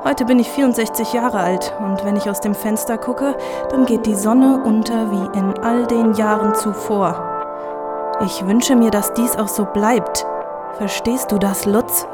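A woman's voice: mid-range voice, fairly even intonation, a little slow, over loud music, lightly acted. A woman playing a cryptic, menacing factory matriarch in her sixties, speaking with portentous deliberation in a German audio drama. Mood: solemn, ominous. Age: older.

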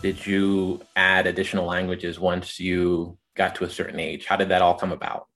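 A man hosting a podcast, asking interview questions in a relaxed, friendly way with fillers. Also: low voice, normal speed, soft background music. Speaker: podcast host.

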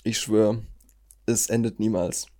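A man's voice: on the low side, steady tone, a little slow, mellow. A young man hosting a casual podcast, joking around as he quizzes his guests. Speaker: podcast host.

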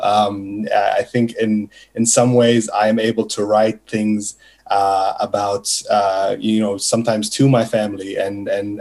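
Man poet, low monotone voice, reflective, lots of ums, festival panel guest. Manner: reflective, soft-spoken with many fillers. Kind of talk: panel discussion.

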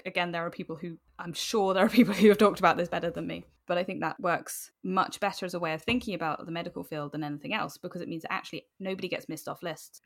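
A woman in her twenties with a British accent, talking casually as a podcast guest, rambling and acting out imagined remarks as they go. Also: lowish voice. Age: 20s. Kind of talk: casual interview chat.

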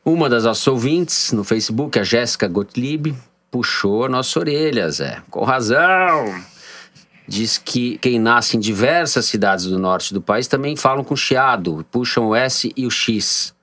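A man's voice: medium pitch, animated, measured pace, fairly bright tone, dry recording. A man with a São Paulo accent hosting a political podcast, bantering with co-hosts and reading listener messages in a jokey way. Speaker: podcast host. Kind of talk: casual political podcast chat.

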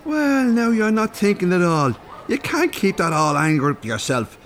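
An actor playing a blunt, teasing older Irish rogue in an audio drama, colloquial and cheeky. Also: male voice, high voice, very animated, moderate pace, fairly bright tone, exaggerated voice. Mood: coaxing.

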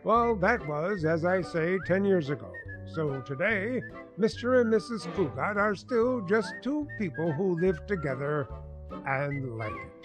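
A man's voice: high-pitched, sing-song, measured pace, over music. A man narrating a scripted radio sitcom as announcer, in a droll, old-time style.

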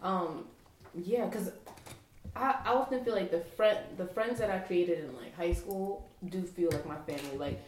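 A woman's voice: lowish voice, normal speed. Laughing woman from Brooklyn, roomy sound. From Brooklyn, New York. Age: late 20s. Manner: laughing often, lots of fillers. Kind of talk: casual group chat. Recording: roomy, distant mic, faint noise.

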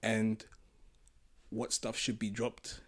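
A man's voice: on the low side, completely flat delivery, slow pace, fairly bright tone, dry recording. A man co-hosting a casual podcast discussion, hesitantly thinking aloud as he talks. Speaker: podcast co-host.

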